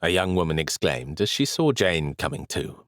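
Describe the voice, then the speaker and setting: A man narrating a period novel as an audiobook in a measured, expressive storytelling manner. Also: rumbling low, no echo, studio quality.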